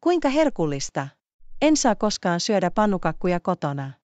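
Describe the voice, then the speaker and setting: Earnest schoolgirl classmate played by a woman, very animated intonation, dry close sound, deliberate story dialogue. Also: on the low side, dry recording, lo-fi sound. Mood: friendly, curious. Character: schoolgirls Lisa and Sarah, earnest classmates making up. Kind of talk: scripted language-learning story dialogue.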